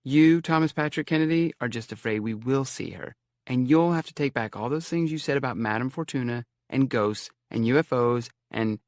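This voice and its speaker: A man's voice: medium pitch, moderate pace, a little mellow, no room sound, studio quality, pitched above the performer's own voice, natural voice. A man voicing a playful young woman college student who teases her friends. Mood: teasing.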